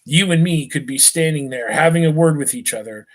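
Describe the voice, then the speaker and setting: A man's voice: fairly high pitch, a little fast, close to an everyday voice. A man reading the lines of a distrustful British army sergeant from a storybook, in a muttering, suspicious way.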